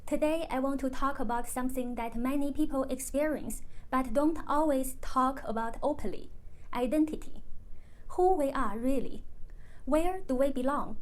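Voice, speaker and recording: A high-pitched woman who grew up in China, first language Chinese, talking to her channel in English. She speaks in a calm, measured, scripted way, one reflective sentence at a time, like a personal essay read aloud. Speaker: vlogger.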